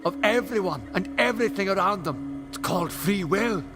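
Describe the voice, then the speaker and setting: Man acting, impassioned male alien, declamatory, scornful, wide swooping pitch, loud music behind, audio play. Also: expressive, moderate pace. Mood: scornful, lecturing. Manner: theatrical, declamatory. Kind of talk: fan audio drama acting.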